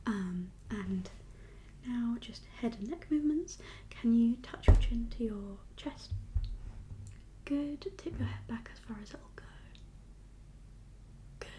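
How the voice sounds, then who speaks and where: A woman whispering as a doctor in an ASMR exam roleplay, giving gentle, reassuring instructions in a breathy voice. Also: a little high, slow, pauses often, a little hiss or hum. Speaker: ASMR performer playing a doctor.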